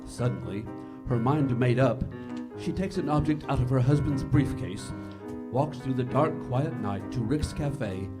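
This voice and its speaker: A man plays a stately 1940s radio producer introducing a drama. His mid-pitched voice is formal and dramatic, with music playing behind the narration. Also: measured pace, lightly acted. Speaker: host and narrator.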